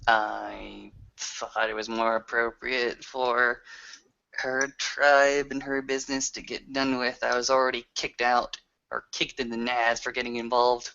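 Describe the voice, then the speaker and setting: A man's voice: mid-range voice, little pitch movement, measured pace, vocal fry, pushed and bright, clear, resonant tone. A man roleplaying as a player in a tabletop session, hesitant and thinking aloud as he goes. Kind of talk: improvised in-character roleplay. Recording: dull lo-fi recording.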